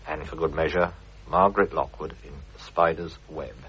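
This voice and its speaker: A man's voice: very deep voice, fairly even intonation, moderate pace, fairly bright tone, husky. A man reading scripted linking narration as a radio presenter. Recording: muffled vintage radio recording.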